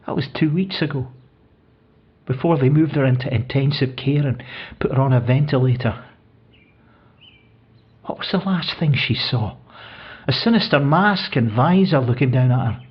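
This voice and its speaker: A husky, grieving older widower. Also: male voice, medium pitch, muffled recording. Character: grieving widowed man, older, tender, wistful, reminiscing. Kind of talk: audio play dramatic monologue.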